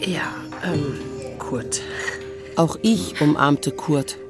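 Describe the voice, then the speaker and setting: An actress playing a dry, steady woman around forty who narrates and talks in an understated, matter-of-fact way in a radio drama. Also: deep voice, drawn-out words. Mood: gentle, hesitant. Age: 40s. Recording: loud music behind. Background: music.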